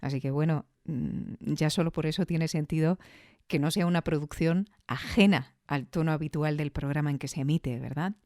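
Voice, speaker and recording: Mellow low-voiced woman from Spain. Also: moderate pace, dry recording, clean studio sound. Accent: Spain Spanish. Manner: reflective, explanatory, with rhetorical questions. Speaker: audio fiction review show host. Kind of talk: conversational commentary.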